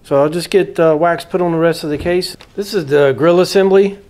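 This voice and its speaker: A man's voice: on the high side, a little fast, darkish tone. A man giving casual, plain-spoken commentary as a hobbyist restorer, thinking aloud while he works on a hands-on repair.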